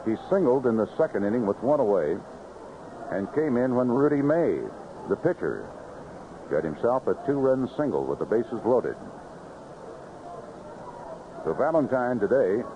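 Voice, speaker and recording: Guy calling a 70s baseball game on the radio, really lively pitch swings, super noisy muffled old recording. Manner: clipped phrases, vivid descriptive calls. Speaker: baseball play-by-play announcer. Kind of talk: 1970s radio baseball commentary. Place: ballpark broadcast booth. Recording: noisy, band-limited 1978 AM radio broadcast.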